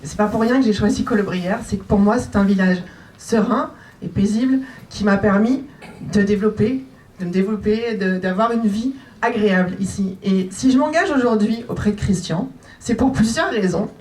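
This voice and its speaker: A woman's voice: mid-range voice, normal speed, darkish tone. Chatty woman in her forties, mic far away. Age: 40s. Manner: lightly joking, chatty. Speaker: municipal list candidate. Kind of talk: public self-introduction. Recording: distant mic at a public meeting.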